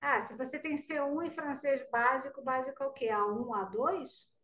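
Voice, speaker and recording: A woman's voice: high voice, normal speed, fairly bright tone. A Brazilian woman guest teacher in a live online class, answering student questions in a friendly, direct way. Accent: Brazilian Portuguese. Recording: roomy, distant-sounding mic.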